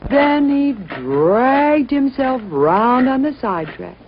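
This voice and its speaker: A woman's voice: high-pitched, sing-song, slow. A woman narrating a children's story expressively, as a storyteller. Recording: muffled, noisy old-sounding recording.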